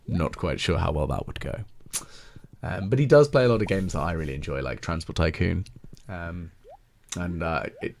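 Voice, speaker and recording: A British man with a deep voice giving relaxed, meandering gameplay commentary with gentle, wry asides. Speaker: let's play video host.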